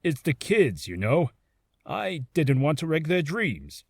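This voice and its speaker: A man voicing a sheepish, earnest TV hero actor from a video game, speaking haltingly. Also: on the high side, sing-song, no echo, studio quality, heavily acted. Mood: apologetic. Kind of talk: video game character voice acting.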